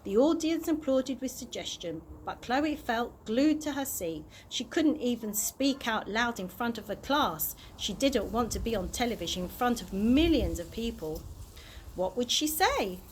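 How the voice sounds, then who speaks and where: A woman's voice: a little high, expressive, measured pace, a little hiss or hum, low-quality recording. A woman reading a children's story aloud expressively, occasionally stumbling over words and correcting herself. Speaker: early years practitioner reading aloud.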